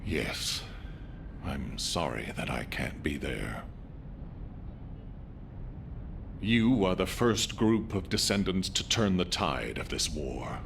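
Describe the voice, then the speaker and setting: A man's voice: low voice, slow, creaky, noisy background, exaggerated voice. An actor playing a male commander leaving a final recorded message to his troops in a video game. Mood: somber. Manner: steady, heartfelt address to troops. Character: fallen male commander's recorded farewell, steady and caring. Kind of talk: video game cutscene dialogue.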